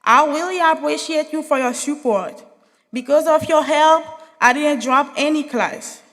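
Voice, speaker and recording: A young woman delivers an earnest graduation speech. Her voice sits high and sounds bright and forceful. A long reverb from the big hall trails her words. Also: measured pace. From Haiti. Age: young adult. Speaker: graduating student speaker. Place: large hall.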